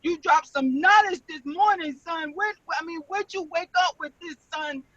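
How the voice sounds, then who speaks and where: Woman doing a brash New York dude, high and slow, dull dry call audio. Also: fairly bright tone, no echo. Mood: hyped. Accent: put-on New York. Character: brash New York man hyping a friend.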